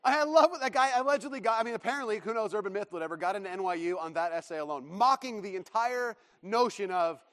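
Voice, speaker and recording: Pastor, male, high voice, preaching with enthusiasm and frequent laughter; long hall reverb. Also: fast, few pauses, a bit bright. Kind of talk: sermon.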